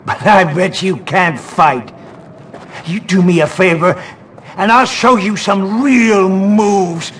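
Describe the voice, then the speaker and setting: An unhinged, hard-drinking homeless veteran in a video game. He speaks slowly in a forceful, raised voice, gruff and menacing. Faint ambience runs behind him. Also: high voice, a little hiss or hum. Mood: sly, approving. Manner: gruff, erratic, threatening. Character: volatile, boozy homeless Korean War veteran. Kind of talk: video game cutscene acting.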